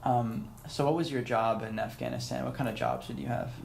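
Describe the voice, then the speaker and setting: Flat, gravelly male interviewer. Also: mid-range voice, a little fast, slight background noise. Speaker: interviewer, host. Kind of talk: casual interview questions.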